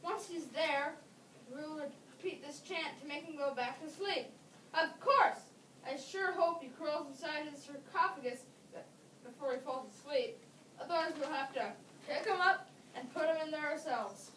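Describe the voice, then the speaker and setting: Female reader playing a resourceful excitable schoolboy, high voice, emphatic delivery, amateur stage reading, far from the mic. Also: normal speed. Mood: determined. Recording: distant mic in echoey, slightly noisy room.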